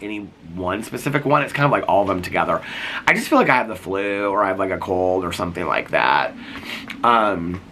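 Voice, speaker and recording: A middle-aged man sits outside and chats into his vlog, rambling with many "like"s and restarts. He is sick, with a cough and a fading voice, yet his tone stays bright and forceful. Faint background noise sits under the talk. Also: deep voice. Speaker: vlogger. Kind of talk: casual vlog chat. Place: sitting outdoors.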